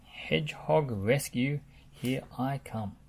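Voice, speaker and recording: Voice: medium pitch, takes it slowly, voice pushed up. A storyteller voicing an eager, proud digger machine in a children's storybook, with background noise.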